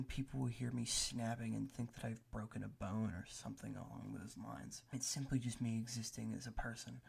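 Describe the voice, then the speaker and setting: Male ASMR creator, heavy vocal fry, very breathy half-whisper, close-miked, hesitant self-deprecating ramble. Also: medium pitch. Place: bedroom at a desk. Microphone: close ASMR microphone.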